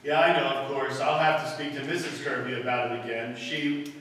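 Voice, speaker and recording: A man's voice: medium pitch, fairly quick, a bit bright, lightly acted. A man playing a resigned, hesitant, henpecked business executive in a 1940s-style radio drama. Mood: resigned, placating. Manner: hesitant, placating. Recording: distant echoey hall, faint noise.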